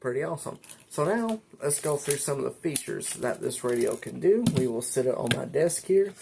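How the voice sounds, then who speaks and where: Gravelly man giving a casual product demonstration. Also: medium pitch, animated, noisy background. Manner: halting, informal, step-by-step explaining. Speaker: vlogger reviewing a weather radio. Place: indoors at a desk.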